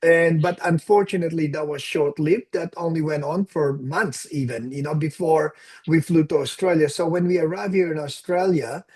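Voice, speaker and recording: Casting: Filipino-accented man, late sixties, slightly higher voice, reflective interview guest. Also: normal speed, darkish tone, breathy edge. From Philippines. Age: 60s. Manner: reflective storytelling, mixes in Tagalog phrases. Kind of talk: casual interview conversation.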